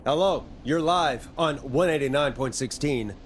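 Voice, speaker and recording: A man's voice: a little high, unhurried, over music, close to an everyday voice. A man playing a wry, easily irritated late-night radio talk show host in a video game, with practised on-air patter.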